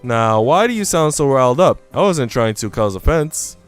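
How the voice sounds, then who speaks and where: Guy doing a snooty young woman in a visual novel, sure of herself, smooth clear voice, music behind. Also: mid-range voice, expressive, normal speed, natural voice. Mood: probing, candid. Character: haughty, dismissive young woman, quick to judge.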